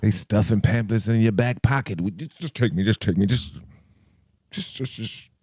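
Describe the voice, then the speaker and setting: A man co-hosting a casual podcast, joking and teasing in an animated way. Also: on the low side, normal speed, dry recording, studio quality. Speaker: podcast co-host.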